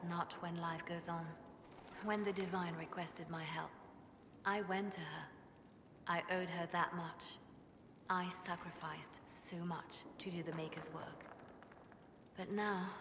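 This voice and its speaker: A woman playing a melancholy, devout spymaster in a video game, speaking in a measured, solemn way. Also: lowish voice. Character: melancholy, devout female spymaster haunted by grief. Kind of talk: video game cutscene acting. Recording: echoey hall, loud background noise.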